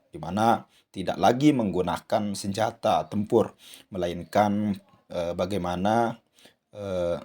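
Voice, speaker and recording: A man hosting his channel, giving a spoken commentary with a hoarse voice and many hesitant fillers. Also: sits low, a little mellow. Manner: addresses viewers as "saudara sekalian", frequent "eh" fillers. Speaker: channel host. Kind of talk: commentary monologue.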